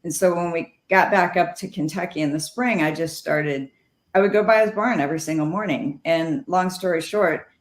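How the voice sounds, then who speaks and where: A woman's voice: on the low side, moderate pace. Woman telling stories in an echoey room. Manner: animated anecdotes with quoted dialogue. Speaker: guest, former jockey. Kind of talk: storytelling interview.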